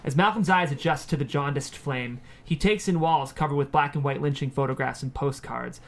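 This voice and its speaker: A man's voice: on the high side, fairly quick, creaky. A man reading screenplay stage directions as scripted narration at a table read.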